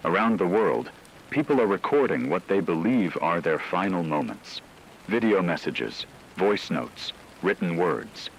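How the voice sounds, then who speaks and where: Man acting as grave radio news anchor, low voice, formal deliberate delivery, scripted disaster drama, rain-like background noise. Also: poor audio.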